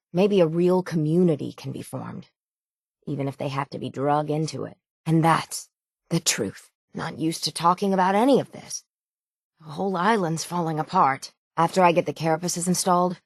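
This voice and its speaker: Gravelly, weary island sailor woman. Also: sits low, dry recording, clean studio sound. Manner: plainspoken, folksy rural islander speech, resigned yet stubborn. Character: weary, stoic, gruff dying island sailor woman guarding the town's hull. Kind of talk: dramatic video game dialogue.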